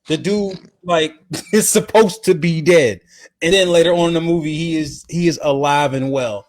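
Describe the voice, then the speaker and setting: A man's voice: a little high, moderate pace. A man co-hosting a podcast, telling stories casually with exclamations and repeated phrases. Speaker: podcast co-host. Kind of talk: casual podcast chat, recounting a film.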